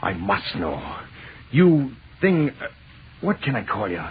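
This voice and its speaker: A man's voice: medium pitch, very animated, takes it slowly. An actor playing a frightened yet eagerly curious man in a 1930s radio drama, stammering and urgent. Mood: urgent curiosity. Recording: tinny, noisy 1930s radio recording.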